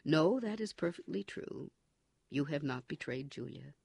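A man voicing a cold, menacing male party interrogator in an audiobook, speaking forcefully and with contempt. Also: a little high, expressive, a little slow, vocal fry, breathy edge, no room sound, exaggerated voice. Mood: measured. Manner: forceful, contemptuous, coldly commanding. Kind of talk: audiobook character reading.

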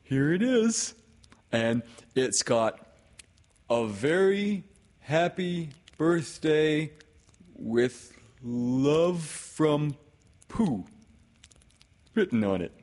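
A man performs a kindly storybook bear with a raised, swinging pitch. He is childlike and none too clever, speaking slowly and haltingly with cheerful earnestness. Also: lively intonation, a little mellow. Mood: cheerful, earnest.

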